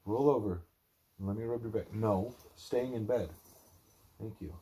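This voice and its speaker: A man with a measured, plain-spoken way of talking, reading aloud or speaking as a parent at home. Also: lowish voice, dark tone, slight background noise. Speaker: reader, parent putting a child to bed. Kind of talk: reading a religious text aloud, with quiet parental chat.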